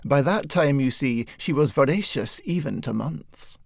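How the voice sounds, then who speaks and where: A man narrating a satirical short story as an audiobook, with measured, wry storytelling. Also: mid-range voice, animated, gravelly, dry recording, studio quality.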